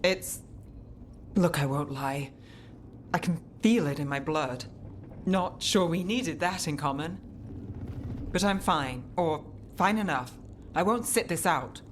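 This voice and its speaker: A woman's voice: low voice, slight background noise. An actress playing a weary, determined woman mage in a video game, with a restrained, dry delivery. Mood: wry, resigned. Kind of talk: dramatic video game cutscene acting.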